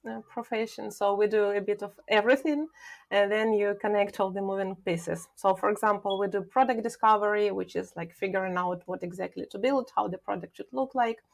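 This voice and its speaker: A woman from Ukraine, a product manager answering questions thoughtfully as a podcast guest, pausing often with filler sounds. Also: medium pitch, vocal fry. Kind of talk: podcast interview.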